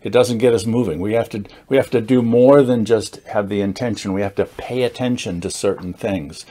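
A man in his sixties speaks in a fairly low voice, talking informally to camera as the host of a group. He explains in a measured, somewhat halting way, leaning on key words with deliberate emphasis. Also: mellow. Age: 60s. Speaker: meetup founder and host, teacher. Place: indoors, small studio with dark curtain backdrop.

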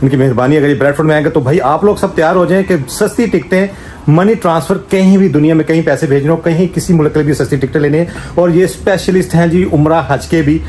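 A man's voice: fairly high pitch, fast talker, a little hiss or hum. A man in his forties vlogging casually, talking straight to a handheld phone at arm's length and promoting his shop. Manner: lively, promotional, talks straight to the camera. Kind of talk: casual vlog chat. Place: shop, street and restaurant kitchen.

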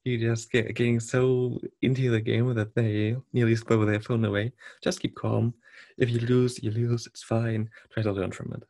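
A man from Germany with a German accent, speaking as a podcast guest in casual, halting talk with frequent chuckles. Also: mid-range voice, little pitch movement, gravelly, mellow. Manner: halting, restarts words, chuckles often. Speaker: podcast guest, competitive game player.

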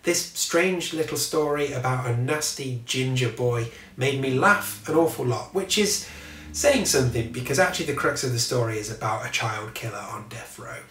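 A man's voice: mid-range voice, normal speed, fairly bright tone, distant mic, slight background noise. A man in his thirties giving a chatty, wry book review to camera from a small home office. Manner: chatty and wry, swears at passing vehicles. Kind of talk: casual talk to camera. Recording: echoey room, mic set back.

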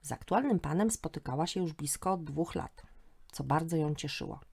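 Wry woman storyteller with a lowish voice. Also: animated, moderate pace, vocal fry, a little mellow, breathy edge. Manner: wry, sardonic. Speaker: storyteller and podcast host. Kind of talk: humorous first-person scripted narration.

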